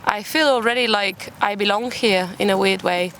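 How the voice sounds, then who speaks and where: A woman's voice: medium pitch, moderate pace, a bit bright, rough recording. A young Spanish woman speaks English with a British accent, in a casual interview style. She is recorded outdoors in a park, with faint city noise behind her. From Málaga, Spain. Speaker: podcast guest. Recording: outdoor urban background noise. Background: faint park and traffic ambience.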